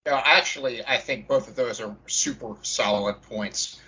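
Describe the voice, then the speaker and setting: Male co-host, forceful and raspy, mid-range pitch, casual panel talk on slightly lo-fi audio. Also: moderate pace. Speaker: podcast co-host. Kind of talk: casual panel discussion on tabletop role-playing. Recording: dull, band-limited audio.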